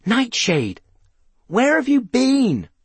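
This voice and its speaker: A man voicing an earnest, excitable boy who plays amateur sleuth in a children's story, anxious and blustering. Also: on the high side, measured pace, husky, dry recording, voice pushed up. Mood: anxious, relieved.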